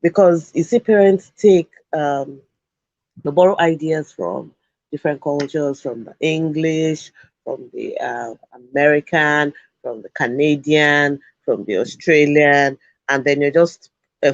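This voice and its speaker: A man's voice: on the high side, no echo, dull audio. A man, a Yoruba speaker, making earnest, emphatic points as an analyst on an education show. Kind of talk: studio discussion commentary.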